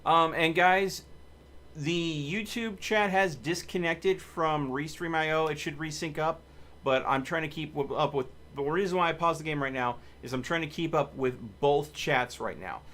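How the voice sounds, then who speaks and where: A man's voice: fairly high pitch, moderate pace, fairly bright tone. A man hosting a live game stream, chatting casually and commenting on his play, with game music behind him. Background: video game music.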